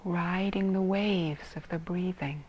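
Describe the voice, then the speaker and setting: A woman teaching meditation in a soft, breathy, husky voice, calmly and gently guiding with long pauses between phrases. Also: lowish voice, lo-fi sound. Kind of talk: guided meditation.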